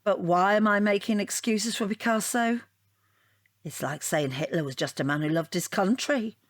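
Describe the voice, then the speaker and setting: An actress playing a wounded wife in an audio drama, rambling in a wry, deadpan and digressive way. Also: female voice, medium pitch, moderate pace, close to an everyday voice. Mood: wry, musing. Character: wounded, rambling wife dissecting her unwanted portrait gift. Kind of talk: audio drama monologue and dialogue.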